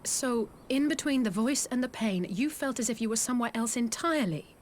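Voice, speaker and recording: A woman's voice: a little high, brisk, slight background noise, lightly acted. A woman playing a forthright, determined young noblewoman in a video game, direct and articulate. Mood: serious, resolute. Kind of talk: scripted video game cutscene acting.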